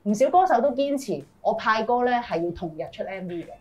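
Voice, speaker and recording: A woman's voice: fairly high pitch, animated, fast talker, dark tone, dull audio. A woman with a Hong Kong accent hosting a casual interview and putting questions to guests. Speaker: radio show host, interviewer. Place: small room indoors, seated group.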